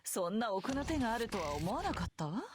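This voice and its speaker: A woman's voice: a little high, big pitch swings, racing, noisy background. A woman playing a haughty, mocking witch in a visual novel game, condescending and teasing with mock politeness. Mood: mocking, amused. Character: haughty, mocking adult witch, smugly amused. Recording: loud music over the voice. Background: loud game music.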